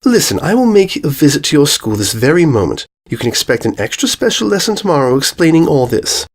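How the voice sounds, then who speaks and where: A man's voice: on the high side, very animated, brisk, voice pushed up. A man voicing a refined female pony, a motherly older sister who speaks in a proper, soothing, cooing way in a storybook reading. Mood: soothing, businesslike.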